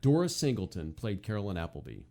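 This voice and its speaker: A man's voice: fairly low pitch, very animated, fast, vocal fry, darkish tone. A man co-hosting a podcast, chatting casually and with amusement about old television. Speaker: podcast co-host. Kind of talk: casual chat, nostalgic TV commentary.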